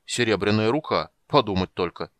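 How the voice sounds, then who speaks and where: Ancient wizard, old man, stern yet forgetful, grave slow delivery, low voice, man reading it, dry close audiobook mic. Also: lively intonation, no room sound, close to an everyday voice. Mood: genial.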